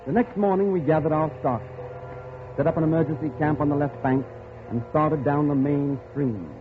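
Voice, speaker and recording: Actor as world-weary gentleman adventurer, tinny old radio. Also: a little high, normal speed, music in the background, lightly acted. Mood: brooding. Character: world-weary gentleman adventurer narrating his doomed jungle expedition. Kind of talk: 1940s radio drama narration and acting.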